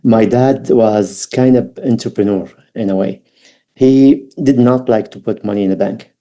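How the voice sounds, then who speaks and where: Mellow middle-aged man with an Iraqi accent. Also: fairly low pitch. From Baghdad, Iraq. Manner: halting, reflective, non-native English phrasing. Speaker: podcast guest. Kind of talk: personal storytelling interview.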